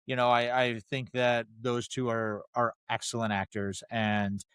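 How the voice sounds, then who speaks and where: A man's voice: mid-range voice, level tone, unhurried, a bit bright, smooth, no room sound. A man co-hosting a podcast, talking casually about films and repeating himself for emphasis. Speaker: podcast co-host. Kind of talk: casual film review chat.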